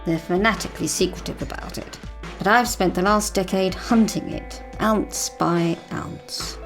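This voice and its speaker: A woman voicing a mysterious, secretive woman who speaks in a cool, knowing, measured way. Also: lowish voice, gravelly. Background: music.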